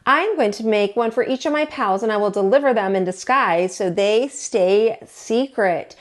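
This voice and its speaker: A woman's voice: mid-range voice, lightly acted. A woman voicing an eager, dramatic male turkey from a children's storybook, with exclamatory phrasing. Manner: exclamatory, drawn-out gobbles.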